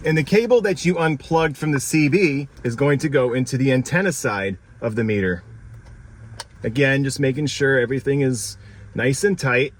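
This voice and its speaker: A man narrating a casual unboxing and review as the video's host, explaining things in a plain-spoken, instructional way. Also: medium pitch.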